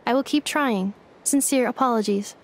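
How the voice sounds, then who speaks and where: Woman voicing a polite, literal-minded robot girl, higher voice. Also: moderate pace, natural voice. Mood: flat, inquisitive. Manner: stilted, formal, emotionless robotic phrasing. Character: polite literal-minded female robot relearning how to converse. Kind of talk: scripted video game dialogue.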